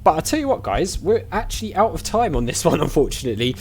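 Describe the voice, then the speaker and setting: A man's voice: a little high, sing-song, quick pace, a little hiss or hum. A man giving casual let's-play commentary as a channel host, chatting and thinking aloud in questions as he plays. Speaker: video game player and channel host.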